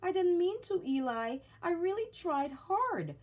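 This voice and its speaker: A woman's voice: very high voice, sing-song, unhurried, darkish tone, voice pushed up, full character voice. A woman voicing a small, timid, self-doubting wooden puppet boy in a children's storybook, plaintive and questioning. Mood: pleading, doubtful.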